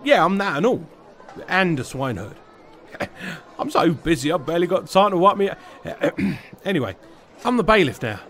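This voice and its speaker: An actor playing a self-important, put-upon village bailiff with a British accent and colloquial speech in a comic audio drama. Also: male voice, high voice, sing-song, moderate pace, a bit bright, a faint crowd, exaggerated voice. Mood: self-important, irritated.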